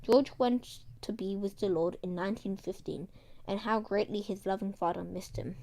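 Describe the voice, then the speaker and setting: A woman reading a book aloud in a halting way, stumbling over some words. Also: mid-range voice, creaky, mellow, low-quality recording. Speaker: guest reader.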